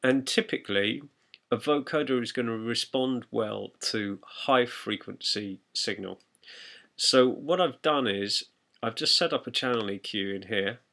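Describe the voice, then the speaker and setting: A man narrating an informal software tutorial in a conversational, explanatory way. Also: medium pitch, a little slow, creaky. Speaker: music production tutor.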